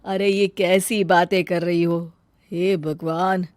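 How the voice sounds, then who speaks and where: A woman voicing a calm, mildly reproachful older father-in-law in an animated story. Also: lowish voice, takes it slowly, dry recording. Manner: mild, reproachful.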